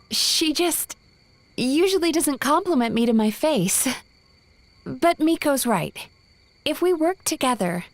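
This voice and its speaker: A woman voicing a gentle, thoughtful young dream healer in a video game, soft and earnest in manner. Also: sits high. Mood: modest, hesitant. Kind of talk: scripted video game dialogue. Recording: music under the voice.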